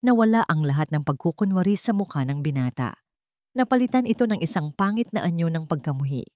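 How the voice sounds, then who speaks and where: A woman's voice: lowish voice, expressive, fairly quick, warm tone, no room sound, clean studio sound. A woman narrator reading a scripted dramatic story.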